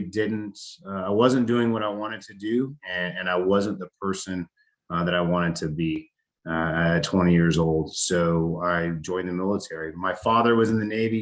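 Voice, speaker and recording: A man, giving a casual talk as a veteran, halting with many fillers and restarts. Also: deep voice, a bit bright. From United States. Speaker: veteran speaker.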